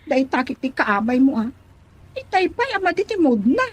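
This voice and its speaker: A girlish young woman in a radio drama. Her pitch is extremely high and she speaks expressively to her workmates. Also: very animated, moderate pace. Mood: sympathetic. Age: young adult. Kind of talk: Ilocano radio drama acting.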